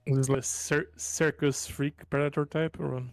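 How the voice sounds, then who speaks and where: A man's voice: a little high, flat delivery, normal speed, no room sound, faint music behind. A man bantering casually as a tabletop game player, with a mocking, joking manner.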